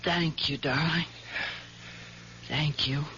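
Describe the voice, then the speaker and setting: An actress playing a frail, gravely ill young wife, plaintive and feeble, in a 1970s radio mystery. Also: female voice, deep voice, steady tone, slow pace. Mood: weak, grateful. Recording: dull, noisy old radio recording.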